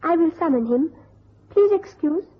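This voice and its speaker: A woman's voice: extremely high pitch, lively intonation, moderate pace. An actress playing a demure young female temple attendant in an old radio drama, with stilted, clipped, very polite speech. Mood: deferential, formal. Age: young adult. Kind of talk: golden-age radio drama acting. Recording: tinny narrow-band old radio recording.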